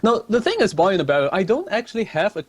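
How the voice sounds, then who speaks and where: A man's voice: high-pitched, very animated, fast talker, husky, dry recording. A young man from Malaysia hosting a casual livestream, chatty and rambling as he reads viewer questions aloud at a desk microphone. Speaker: livestream host. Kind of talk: casual stream chat, answering viewer questions.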